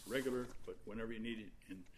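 A soft-spoken man arguing an appeal before judges in a large courtroom, halting and full of fillers as he speaks into a fixed recording mic. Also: on the low side, fairly even intonation, noisy background. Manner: halting, with many fillers and restarts. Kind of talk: appellate oral argument.

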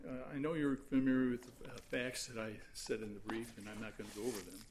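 A soft-spoken man arguing an appeal before judges in a large courtroom, halting and full of fillers as he speaks into a fixed recording mic. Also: mid-range voice, flat delivery, slight background noise. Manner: halting, with many fillers and restarts. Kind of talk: appellate oral argument.

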